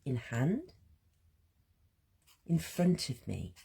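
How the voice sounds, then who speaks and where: A woman hosts a gentle, reflective art session in a low, husky voice that sounds a little strained. She speaks slowly and muses aloud with pauses, her pitch moving freely up and down. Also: big pitch swings. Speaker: host of a creative healing art session. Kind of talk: gentle guided reflection while drawing.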